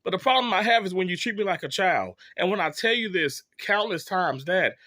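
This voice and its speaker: Exasperated high-voiced man in his thirties. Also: lively intonation, brisk, pushed and bright, no echo, clean studio sound. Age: 30s. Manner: rambling, exasperated, many fillers and restarts. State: sick with a cough. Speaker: vlogger telling a story. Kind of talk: casual story time monologue.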